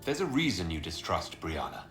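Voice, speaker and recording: Game lead: authoritative inquisitor, lowish voice, probing and firm, roomy sound with faint music. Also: male voice, big pitch swings, fairly quick, fairly bright tone. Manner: direct, questioning, authoritative. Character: commanding male inquisitor, resolute hero and investigator. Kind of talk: video game cutscene dialogue.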